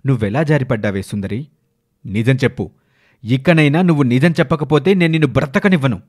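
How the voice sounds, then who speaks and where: A man acting a domineering village husband in a dramatic animated moral story. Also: medium pitch, fairly quick, natural voice. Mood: furious. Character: domineering village husband, scheming then wrathful.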